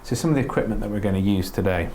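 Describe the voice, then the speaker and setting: Low-voiced man teaching a practical skill. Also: rapid-fire, mellow, a little hiss or hum. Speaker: doctor giving a training demonstration. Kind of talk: spoken practical instruction.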